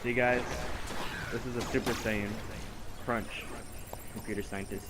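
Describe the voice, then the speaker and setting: A man's voice: mid-range voice, fairly even intonation. Man rambling through a story, noisy recording. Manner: rambling, halting, self-correcting. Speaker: gamer telling an improvised story in character. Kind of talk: casual improvised in-game storytelling.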